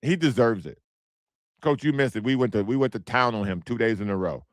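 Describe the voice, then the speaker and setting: A man hosting his own podcast in casual, blunt commentary, repeating himself, with a hoarse, strained voice as he loses it. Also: medium pitch, fairly quick, no echo, clean studio sound. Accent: American. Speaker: podcast host.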